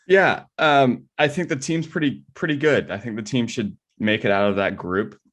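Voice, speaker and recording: A man's voice: medium pitch, normal speed, a bit bright. A man co-hosting a podcast, chatting casually about sports. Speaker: podcast co-host.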